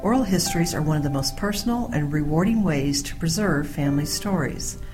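A woman reading a scripted introduction to an oral history program in an even, read-aloud delivery. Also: low-pitched, brisk. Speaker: program announcer. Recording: music bed behind voice.

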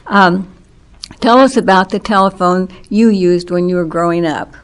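An older woman from Kansas leading an oral history interview with measured, formal questions. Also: on the low side, smooth.

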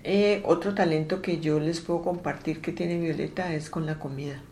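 A woman interviewing a friend for an oral history, gentle and hesitant with many fillers. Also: sits low, steady tone, moderate pace, slight background noise.

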